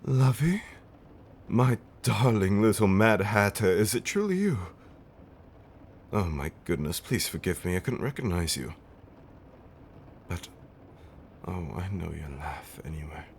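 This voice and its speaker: A man acting as an ancient, loving vampire husband with a low voice. He spins his tale theatrically in antique phrasing, teasing and tender, swinging between dramatic swells and hushed murmurs. It is an ASMR roleplay with faint ambience behind him. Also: expressive, unhurried, long pauses, slight background noise. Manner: theatrical, old-fashioned wording, teasing, dramatic swells and whispers. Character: affectionate, theatrical centuries-old male vampire husband.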